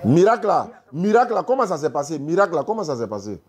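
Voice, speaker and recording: A woman debating religion live as a host, insistently repeating pointed questions in a husky voice. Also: deep voice, very animated, fast talker, a little mellow. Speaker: host taking phone calls on religion.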